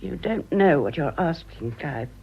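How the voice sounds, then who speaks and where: An older woman in a radio drama, worldly and rueful. Her voice is husky and breathy as she pleads with dramatic, halting feeling. The recording is dull and muffled. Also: low-pitched, animated, exaggerated voice. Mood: anxious, pleading. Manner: emotional, hesitant, dramatic.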